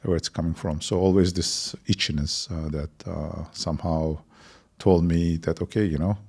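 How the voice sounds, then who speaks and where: A man of about fifty from Turkey with a Turkish accent, answering interview questions reflectively with halting phrasing. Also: deep voice, steady tone, gravelly, darkish tone, slightly rough. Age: around 50. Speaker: interview guest, technology entrepreneur.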